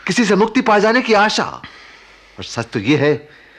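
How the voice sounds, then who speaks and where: A man's voice: high-pitched, very animated, takes it slowly, a bit bright, exaggerated voice. An actor in a radio play voicing a concerned, philosophical man who soothes and explains while caring for an injured stranger. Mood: reflective, earnest. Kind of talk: Hindi radio play acting.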